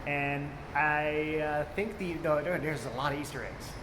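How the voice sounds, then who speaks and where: Echoey, higher-pitched man chatting casually. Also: unhurried. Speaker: movie review host. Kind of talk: casual unscripted film review chat. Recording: echoey reverberant room, clear background noise.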